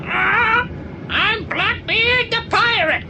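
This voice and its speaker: Shrill, drawn-out talking pirate bird. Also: female voice, very noisy, exaggerated voice. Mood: boastful. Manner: drawn-out, theatrical squawking recitation. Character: talking bird squawking boastful pirate phrases. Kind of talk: British audio drama acting.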